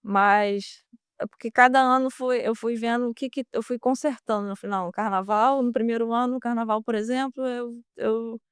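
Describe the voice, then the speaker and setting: A woman's voice: fairly high pitch, fairly even intonation, moderate pace, clear, resonant tone, no echo, clean studio sound. A young woman with a Brazilian Portuguese accent, a guest in an interview, answering casually with many hesitations and restarts. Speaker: guest, former student now a merchant navy officer.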